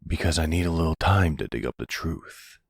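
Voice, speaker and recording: A man playing a middle-aged silver fox mafia boss, smitten and commanding, speaking tenderly and gently in an intimate ASMR roleplay. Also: no echo, clean studio sound, heavily acted. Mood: grave, reassuring. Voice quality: low, gravelly. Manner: slow, tender, measured.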